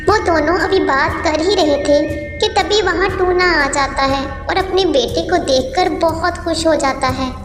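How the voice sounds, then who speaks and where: A woman with an extremely high voice narrates a children's story from a script. The sound is echoey with a long reverb, and music is clearly audible underneath. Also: unhurried, few pauses.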